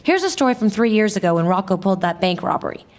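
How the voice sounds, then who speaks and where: A woman's voice: mid-range voice, expressive, fast, a little hiss or hum, natural voice. A woman playing a skeptical, earnest newspaper reporter sidekick, matter-of-fact and wry, in a comic audio drama. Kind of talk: comic radio drama acting.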